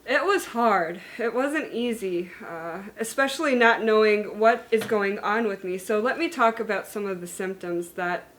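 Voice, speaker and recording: A woman in her thirties talks to her viewers in an earnest, candid way. She was born in Germany and raised in the United States and Hungary. Her voice sits in a middle range, and she pauses and corrects herself as she goes. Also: medium pitch, a bit bright. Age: 30s. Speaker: vlogger sharing her illness story. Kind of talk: personal talk to camera.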